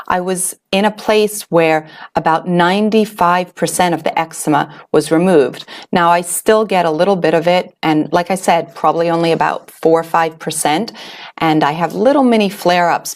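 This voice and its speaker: A middle-aged woman from Switzerland telling her own story to an audience, earnest and reflective, with small fillers and restarts. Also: lowish voice. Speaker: wellness author and host. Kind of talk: personal story told to an audience.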